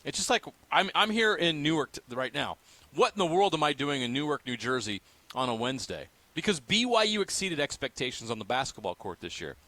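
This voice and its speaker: A man's voice: fairly high pitch, very animated, fairly quick, sharp tone. An American man co-hosting sports talk radio, giving opinions in emphatic, repetitive phrases.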